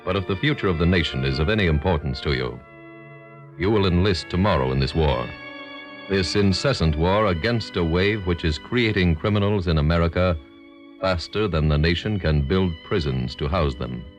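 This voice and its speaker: A man's voice: sits low, moderate pace, faint music behind. A man delivering a grave, formal, exhortatory scripted appeal as the narrator of a radio drama.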